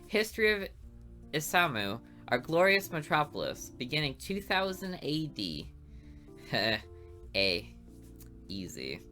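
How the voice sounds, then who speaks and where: A woman voicing a sarcastic, lazy male high school student in a game, talking in a dry, smug drawl. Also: big pitch swings, takes it slowly, long pauses, bright, forceful tone, soft background music, full character voice.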